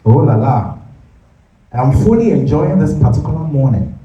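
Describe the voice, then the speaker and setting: An actor with a Ghanaian accent playing a lustful, resentful husband in a theatrical stage reading. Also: male voice, fairly high pitch, lively intonation, normal speed, mellow, breathy edge, muffled recording. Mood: lustful, admiring.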